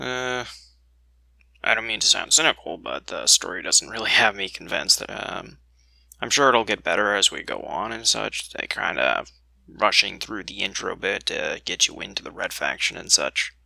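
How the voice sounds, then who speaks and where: A man's voice: lowish voice, vocal fry, sharp tone, no echo. A man giving casual, unscripted commentary as he plays a video game, with a skeptical, dry way of talking. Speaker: let's play commentator.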